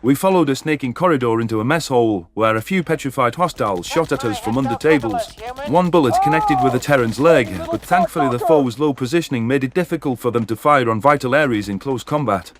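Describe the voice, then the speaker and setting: A man's voice: medium pitch, fairly quick, few pauses, close to an everyday voice. A man playing a grieving, vengeful alien soldier who narrates a sci-fi war story in earnest, formal, articulate language. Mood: grim, intent. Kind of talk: first-person sci-fi story narration and dialogue.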